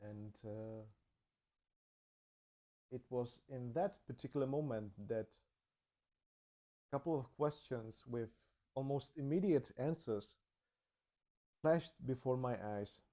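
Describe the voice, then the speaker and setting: Slow, mellow-voiced man. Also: medium pitch. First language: Czech. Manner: slow, measured, thoughtful delivery. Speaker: channel host. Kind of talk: reflective personal narration.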